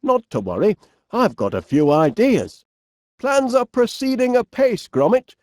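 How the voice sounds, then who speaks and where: An actor playing a cheerful, eccentric middle-aged English inventor with a Northern English accent, chummy and old-fashioned in his phrasing, in an animated video game. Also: high-pitched, unhurried, no echo, studio quality. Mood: cheerful, confident.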